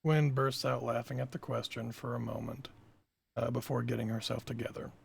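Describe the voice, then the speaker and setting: A man with a gravelly, husky voice reading stage directions as scripted narration at a table read. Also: medium pitch, flat delivery, a little fast.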